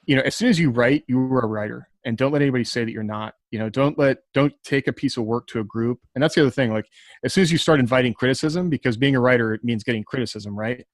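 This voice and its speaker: A man's voice: mid-range voice, quick pace, no echo, studio quality. A man talking casually on a writers' panel, giving advice in an earnest, encouraging way. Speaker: science fiction writer on a podcast panel.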